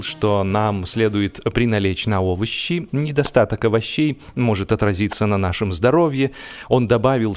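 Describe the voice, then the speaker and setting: Slow-paced male narrator of comic fiction. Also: on the low side. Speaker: audiobook narrator. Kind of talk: expressive humorous literary narration.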